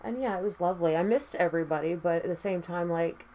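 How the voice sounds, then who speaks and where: Female makeup vlogger, low raspy voice, tired, rambling casual chat with easy swearing. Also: fast talker, mellow, a little hiss or hum.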